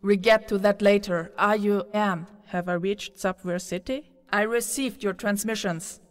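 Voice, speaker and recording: A woman with a Scandinavian accent playing a brisk, determined forest-dwelling podcaster in an audio drama. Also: medium pitch, no echo, natural voice. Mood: brisk, matter-of-fact. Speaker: podcast host and creator.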